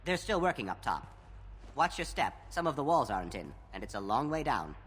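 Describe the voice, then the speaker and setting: A jumpy construction worker in a game, voice swings a lot, big echoey noisy space. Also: male voice, medium pitch, sing-song, fairly quick, fairly bright tone. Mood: uneasy. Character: frightened male construction worker, grateful and nervous. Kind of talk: video game acting.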